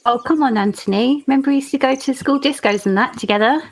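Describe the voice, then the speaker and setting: A woman's voice: sits high, fast, rough recording. A woman playing a bold, teasing teenage schoolgirl in a tabletop game, playful and casual.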